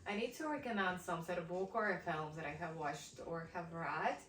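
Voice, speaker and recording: A young woman with a Ukrainian accent, chatting casually and thoughtfully, pausing with many fillers. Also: fairly low pitch, a bit bright, reverberant, distant mic. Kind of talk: casual chat.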